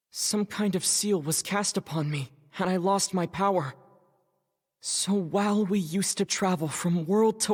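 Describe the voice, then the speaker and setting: A man playing an earnest young traveler hero in a video game, with a youthful, breathy voice. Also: high-pitched, long reverb tail, full character voice. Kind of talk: video game character dialogue.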